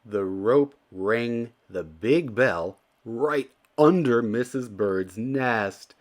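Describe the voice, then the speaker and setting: A man reading a children's storybook aloud as narrator, in an expressive and deliberate storytelling manner. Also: mid-range voice. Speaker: children's book reader.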